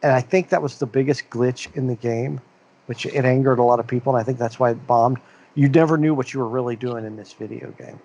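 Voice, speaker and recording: A middle-aged man from the American Midwest chats loosely on a podcast with friends. He wanders from thought to thought, restarting sentences and filling gaps with "uh" and "like". His voice sits at an ordinary pitch. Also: fairly even intonation, a little fast, darkish tone. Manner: rambling, many restarts and fillers. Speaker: podcast co-host. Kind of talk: casual chat.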